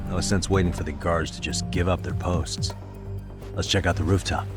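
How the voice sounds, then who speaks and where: A man's voice: low-pitched, fairly even intonation, moderate pace, over loud music, close to an everyday voice. An actor playing a cool, determined male detective in a video game, talking in a terse, casual, unfazed way. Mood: confident. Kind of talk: English video game voice acting.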